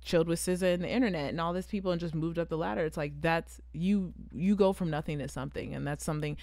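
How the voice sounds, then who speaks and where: A woman in her twenties with a Southern California accent tinged with British English, co-hosting a podcast. She rambles through animated stories on a close mic. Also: fairly low pitch, quick pace, no room sound. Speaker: podcast co-host. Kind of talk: casual chat.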